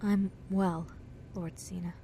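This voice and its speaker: A woman playing a frightened, submissive young cult follower in an audio drama, halting, timid and deferential. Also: lowish voice, level tone, mellow, slight background noise. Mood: nervous deference. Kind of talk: emotional audio drama acting.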